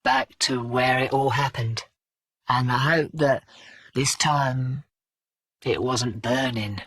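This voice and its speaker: A woman's voice: very deep voice, fairly even intonation, slow, a bit bright, dry recording, clean studio sound, recorded from a distance, exaggerated voice. A woman voicing a tough, sardonic, weary streetwise mercenary in a video game, with clipped, slangy delivery. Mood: grave, resolute. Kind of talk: scripted video game character dialogue.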